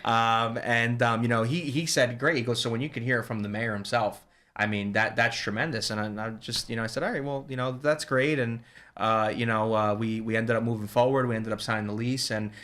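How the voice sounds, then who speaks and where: A man from New Jersey, a shop owner, telling a story in a casual chat and acting out the people he quotes. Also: medium pitch. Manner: fast, animated retelling with quoted dialogue. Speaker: guest, ice cream shop owner.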